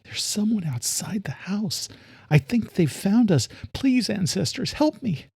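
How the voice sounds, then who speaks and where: Man voicing a panicky mother, high and pleading. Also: sing-song, unhurried, creaky, a little mellow, husky, dry recording, studio quality, full character voice. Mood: terrified, hushed. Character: panicky paranoid middle-aged mother, frightened and pleading.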